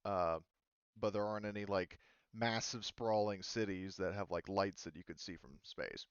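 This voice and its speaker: A man with a smooth, lowish voice explains a tabletop game as its game master. He talks casually and hesitantly, rambling in an easygoing way. The sound is close and somewhat dull. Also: moderate pace, no echo.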